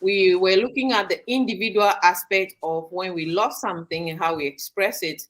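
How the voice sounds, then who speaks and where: A woman hosting a live online talk show, speaking in a measured way with frequent pauses and fillers. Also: medium pitch. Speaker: host. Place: studio or home in Sydney, Australia.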